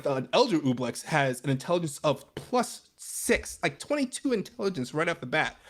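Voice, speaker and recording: An animated podcast panelist talking tabletop games with real emphasis. The pitch swings widely from phrase to phrase, and the delivery is informal and excitable. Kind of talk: animated tabletop gaming discussion.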